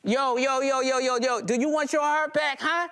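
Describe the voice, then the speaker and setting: A man's voice: extremely high pitch, steady tone, normal speed, bright, forceful tone, pitched above the performer's own voice, full character voice. A man on stage voicing a cocky, menacing gangster Cupid who taunts in street slang with repeated yos. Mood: taunting, demanding. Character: cocky menacing male gangster Cupid holding a heart hostage. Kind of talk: spoken-word stage monologue. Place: indoor hall with small audience.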